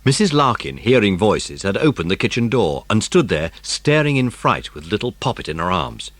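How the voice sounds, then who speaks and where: Low-pitched man narrating an audiobook. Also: sing-song, moderate pace, a bit bright, dry recording. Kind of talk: scripted narration of a children's story.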